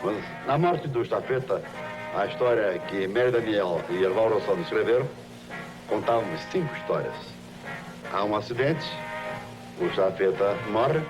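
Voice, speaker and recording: An actor playing a telegram bearer who narrates stories in a scripted television drama. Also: male voice, lowish voice, moderate pace, over music, close to an everyday voice, rough recording. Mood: solemn.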